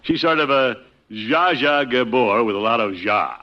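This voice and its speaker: Middle-aged blustery uncle played by a male actor, self-satisfied and highly animated, 1950s sitcom style, muffled radio sound. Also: unhurried, lightly acted. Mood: pleased, oblivious. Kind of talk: 1950s radio situation comedy acting.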